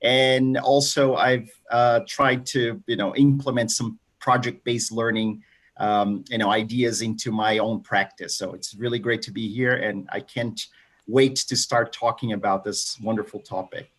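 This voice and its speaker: A man's voice: medium pitch, fairly even intonation, moderate pace. Friendly male educator on a panel. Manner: friendly, with "uh" and "you know" fillers. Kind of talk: self-introduction on a panel.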